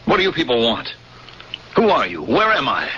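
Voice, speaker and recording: A rattled spaceship captain, lively swooping voice, muffled old radio sound, heavy hiss. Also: male voice, moderate pace. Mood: alarmed. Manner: flustered and argumentative, pitch jumping when alarmed. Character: bewildered, protesting human cargo-ship captain. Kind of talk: 1970s radio drama acting.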